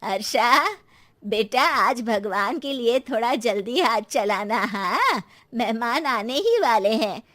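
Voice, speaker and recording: A woman's voice: fairly high pitch, expressive, slow pace, fairly bright tone, no room sound. A woman voicing an older mother-in-law in a cartoon story, welcoming and fussy, with a coaxing manner. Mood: urging. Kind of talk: cartoon moral story character voice.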